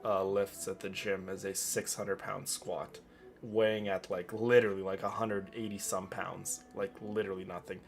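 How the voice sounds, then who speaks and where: A man's voice: sits low, flat delivery, moderate pace, creaky, soft background music. A man streaming casually, talking in a boastful, rambling and repetitive way to his audience. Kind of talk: casual livestream chat.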